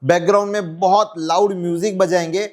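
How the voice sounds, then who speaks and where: A man's voice: high-pitched, steady tone, fairly quick. A man hosting a product test vlog, explaining to viewers as friends and mixing in English words. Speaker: host testing walkie-talkie handsets.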